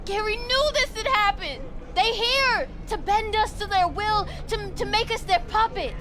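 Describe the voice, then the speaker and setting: An actress playing a fervent, paranoid devotee of a street prophet in a video game, agitated and zealous. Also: female voice, very high voice, a little slow, music in the background, a crowd in the background. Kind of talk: dramatic video game voice acting.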